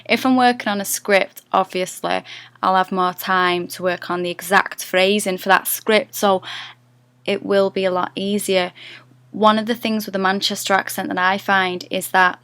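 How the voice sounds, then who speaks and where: A woman's voice: medium pitch, fairly even intonation, moderate pace, fairly bright tone, clear, resonant tone, no echo. A woman with a Geordie accent, an actress chatting to camera as she explains how she works on accents, self-deprecating and thinking aloud. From North East England. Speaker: actress giving an accent tutorial. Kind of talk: casual to-camera vlog.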